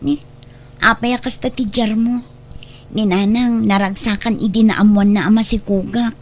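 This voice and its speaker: An earnest young Ilocano woman, voiced by an actress in a radio drama. Her voice is notably high, with an emotive, pleading delivery. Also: fairly even intonation, moderate pace, close to an everyday voice. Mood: reproachful.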